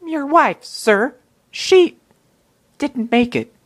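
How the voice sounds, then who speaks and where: A woman's voice: high voice, lively intonation, very slow, no room sound, exaggerated voice. A woman voicing a timid, nervous servant in a comic dub, hesitant and stammering. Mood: fearful. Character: timid, nervous servant bearing bad news.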